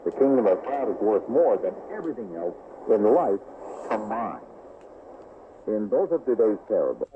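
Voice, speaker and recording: Guy reading a sermon story on shortwave, tinny like a phone, pitch moves around, static behind. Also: very animated, normal speed. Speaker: religious radio devotional reader. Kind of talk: scripted devotional narration. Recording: noisy shortwave radio broadcast, phone-like band. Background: clear radio static.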